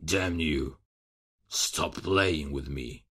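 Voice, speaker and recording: Guy putting on a super deep gravelly killer, slow cold threats, audio drama. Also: a bit bright, full character voice. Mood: hostile. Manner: slow, low menacing threats. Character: menacing male serial killer intruder, cold and brutal. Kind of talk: ASMR horror roleplay.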